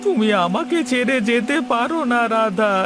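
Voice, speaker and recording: A man voicing a young village father who has just lost his wife, in a Bengali cartoon, speaking in an anguished, pleading, drawn-out way. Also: very high-pitched, animated. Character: grief-stricken young village father, widowed. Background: background music.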